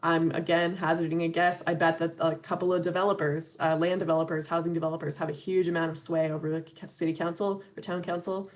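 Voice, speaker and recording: A woman with a slightly low voice gives an earnest, loosely organized talk over a video call. She fills her pauses with ums and restarts, and a light laugh slips in between points. Her voice sounds a little worn, as if she is starting to lose it. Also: flat delivery. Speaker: webinar speaker for an advocacy organization. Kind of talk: conversational talk.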